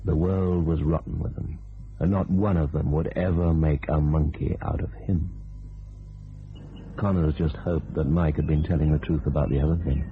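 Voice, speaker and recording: An actor playing a cynical, dry hardboiled narrator of a crime radio play. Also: male voice, rumbling low, steady tone, normal speed, faint music behind. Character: hardboiled male narrator of a pulp crime tale. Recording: tinny old radio, faint hiss.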